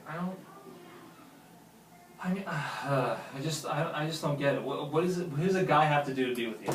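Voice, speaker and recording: A man's voice: a little high, normal speed, lightly acted. An actor playing a lovesick, pushy man pleading earnestly with his best friend in naturalistic film dialogue. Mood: frustrated, insistent. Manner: earnest, insistent. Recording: roomy, distant mic with faint noise.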